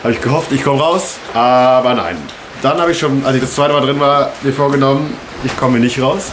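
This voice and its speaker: Junger Mann, lockerer Erzählton, halliger Raum. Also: male voice, medium pitch, fairly even intonation, normal speed. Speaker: kayaker recounting the day. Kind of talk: casual storytelling to camera, slangy. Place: indoors in an unheated house. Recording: echoey room, noticeable background noise.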